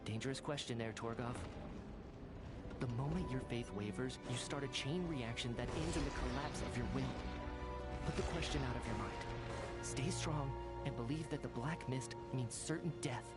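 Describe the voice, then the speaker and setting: A man's voice: medium pitch, normal speed, lightly acted. Actor as a steadfast male survivor leader, music behind. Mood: resolute, stern. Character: resolute male survivor leader urging others onward. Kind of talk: dramatic video game voice acting.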